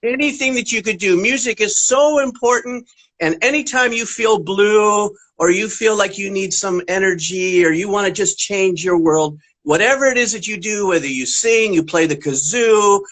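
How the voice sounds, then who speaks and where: A man chatting as a guest music teacher on a children's puppet show, gently explaining things in a rambling way. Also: sits high, moderate pace.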